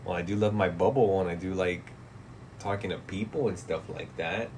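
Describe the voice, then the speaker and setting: A man's voice: sits low, moderate pace, a little mellow, slight background noise. A man in his late thirties chatting casually on a livestream, reading chat messages and replying in a laid-back, profane way that often trails off into mumbles. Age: 30s. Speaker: streamer.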